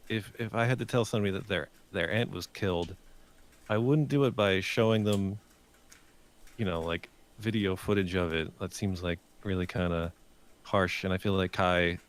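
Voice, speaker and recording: A man's voice: low voice, fairly even intonation, moderate pace. A man giving casual feedback in a group discussion, hesitant and hedging as he feels his way through.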